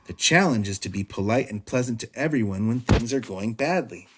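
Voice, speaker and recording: A man's voice: mid-range voice, animated, a little fast, fairly bright tone, a little hiss or hum, lightly acted. A man voicing a stern, dismayed mother bear who scolds her cubs firmly in a storybook. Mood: firm, lecturing. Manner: firm, scolding.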